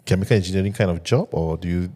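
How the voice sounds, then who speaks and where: A man's voice: sits low, rapid-fire, dark tone, breathy edge. A man from Singapore with a Singaporean English accent, hosting a podcast interview. He asks questions hesitantly, full of "like" and "um". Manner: hesitant, many fillers like "like" and "um". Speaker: podcast host. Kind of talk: casual interview conversation.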